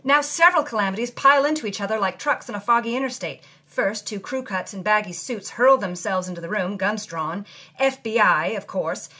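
A woman giving a theatrical, scripted narration of a memoir, dropping to a breathy hush in quiet moments. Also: medium pitch, fairly quick. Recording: music bed under the voice.

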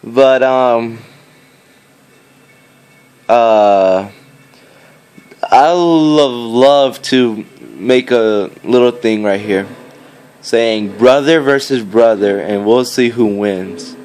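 A teenage boy talks through his gameplay in a slow, casual ramble, hesitating as he searches for words. He is recorded on a phone, with game music clearly playing behind him. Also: mid-range voice, darkish tone. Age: teenager, fourteen. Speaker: gaming video maker. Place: indoors, filming a game on a screen. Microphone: handheld phone.

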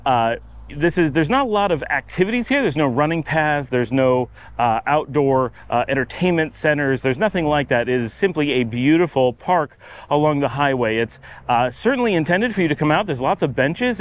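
Man narrating a park walk. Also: fairly high pitch. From United States. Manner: chatty, explanatory, with many uh fillers. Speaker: travel vlogger. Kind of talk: casual walking vlog narration. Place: outdoors in a sunny city park. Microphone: camera held at arm's length.

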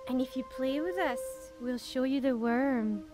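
An actress playing an eerie, playful child with a teasing manner in an audio drama. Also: female voice, high voice, a little slow, over music. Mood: eerily playful. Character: eerie playful child luring a man to play.

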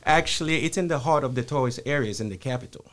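A man, a podcast guest answering in a measured, earnest way. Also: mid-range voice, a bit bright. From Haiti. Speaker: guest, author. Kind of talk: interview answers. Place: podcast studio, indoors. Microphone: studio mic on arm, headphones.

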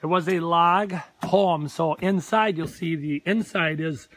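Hoarse Minnesotan man, outdoors on a phone. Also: fairly high pitch, a little slow, fairly bright tone, a little hiss or hum. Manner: rambling, earnest pep talk. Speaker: real estate agent. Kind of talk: live property tour vlog. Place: outdoors on a lakeside deck. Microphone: phone held at arm's length.

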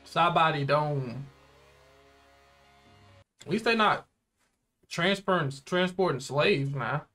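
A young man with an American accent and a fairly high voice chats casually as a reaction co-host. His talk is loose and full of slang. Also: animated, takes it slowly, long pauses, sharp tone, soft background music. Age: young adult. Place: small indoor room.

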